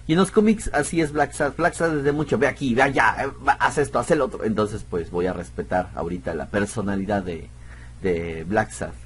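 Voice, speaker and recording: A man's voice: fairly low pitch, fairly quick, fairly bright tone. A man giving casual let's play commentary, musing aloud in a chatty, self-deprecating way. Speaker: gameplay streamer.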